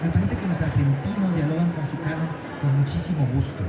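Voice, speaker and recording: A man's voice: a little high, fairly quick, crowd noise. A man from Oaxaca with a Mexican accent, talking as a literary panelist in an enthusiastic, digressive, conversational way. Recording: echoey venue with music behind.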